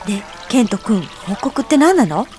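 A woman's voice: on the high side, sing-song, moderate pace, a little mellow, faint music behind. An actress playing a sociable, inquisitive woman who teases and fusses over her friends in an audio drama. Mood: curious. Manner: feminine, chatty, nudging. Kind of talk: scripted audio drama acting.

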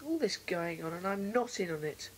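A woman's voice: fairly low pitch, lively intonation, normal speed, heavy background noise, lightly acted. A woman with a British accent playing a determined, mischievous schoolboy who feels left out, in a children's audio drama. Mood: indignant, plotting.

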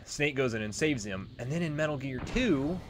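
A man's voice: mid-range voice, expressive, normal speed, background noise. A man giving casual, informal let's play commentary as the host of a gaming video.

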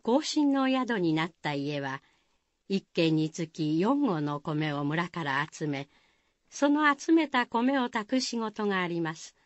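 A woman's voice: fairly low pitch, animated, takes it slowly, no echo, muffled recording. A woman narrating a Japanese village folktale in a scripted radio drama style. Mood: measured.

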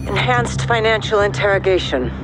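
A man playing a brisk, capable intelligence handler briefing soldiers in a video game, crisp and matter-of-fact. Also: high-pitched, expressive, music in the background, low-quality recording.

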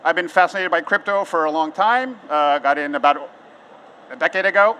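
Portuguese man, forties, American accent, bright higher voice, chatty conference speaker, faint outdoor hum. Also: a little fast. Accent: American English. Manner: conversational, many filler words and restarts. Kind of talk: conference talk. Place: large outdoor conference stage.

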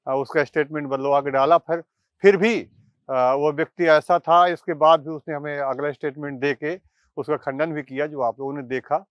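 A man, giving halting explanatory commentary to camera with deliberate pauses. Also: on the high side, steady tone, dry recording, dull audio. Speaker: presenter. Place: outdoors by water and trees.

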